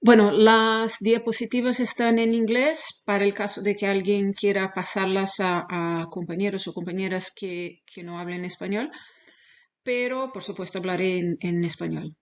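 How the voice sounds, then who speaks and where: A woman's voice: mid-range voice, fairly even intonation, moderate pace. Non-native Spanish-speaking woman, dull webinar audio. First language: not Spanish, speaks Spanish as a second language. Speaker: animal welfare researcher, webinar presenter. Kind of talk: webinar lecture with slides. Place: online webinar.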